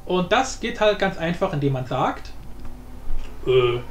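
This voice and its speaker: A man with a notably high voice talks through a tutorial in an informal, think-aloud way. He sounds like he is in a reverberant room, with some light noise behind him. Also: sing-song, measured pace. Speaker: tutorial host. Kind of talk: casual screen-recorded tutorial commentary. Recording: roomy echo with background noise.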